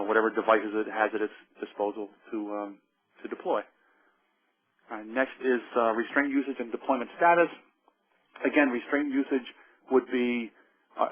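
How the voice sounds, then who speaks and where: A man's voice: mid-range voice, narrow, tinny audio. Middle-aged Jersey man lecturing over phone audio. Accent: New Jersey. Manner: easygoing, repeats words and restarts, many ums. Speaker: webinar presenter, forensic engineer. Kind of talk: technical lecture.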